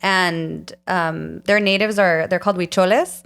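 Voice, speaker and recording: A woman's voice: on the low side, fairly even intonation, measured pace, vocal fry, fairly bright tone, clean tone. A woman hosting a casual podcast, chatty and enthusiastic, rambling a little as she talks. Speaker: podcast host.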